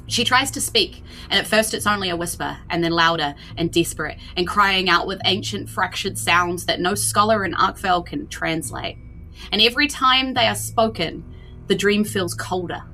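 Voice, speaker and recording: A woman's voice: mid-range voice, pushed and bright. A woman with a New Zealand accent, hosting and narrating as game master on a tabletop roleplaying podcast.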